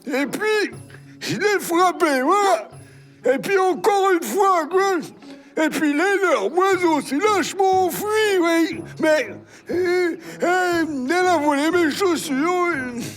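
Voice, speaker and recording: High-voiced, blustering cartoon grandpa. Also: expressive, a bit bright, exaggerated voice. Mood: aggrieved, boastful. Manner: blustering, halting, tacks on little tags. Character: indignant old village grandpa, complaining and boastful. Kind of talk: French-dubbed children's cartoon acting. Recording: music behind the voice.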